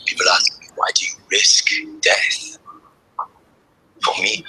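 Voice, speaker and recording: A man's voice: slow, sharp tone, whispered. An actor playing a daring, obsessed wire-walker, narrating his own story intensely in a film trailer. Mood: intense, dreamy. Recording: noisy film trailer playback in a room.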